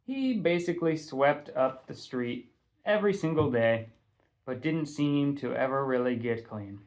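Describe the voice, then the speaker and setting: A man narrating a first-person audiobook in a conversational, expressive storytelling manner. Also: medium pitch, a little slow. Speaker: teacher reading a novel aloud.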